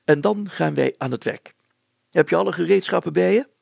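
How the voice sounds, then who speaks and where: Guy putting on a stubborn vain town mayor for a storybook, blustery and exclaiming, pitched up high, gravelly. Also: brisk, a little mellow, no echo, exaggerated voice. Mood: insistent, refusing. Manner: blustery, exclaiming, self-satisfied. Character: excitable, stubborn, vain small-town mayor, a grown man.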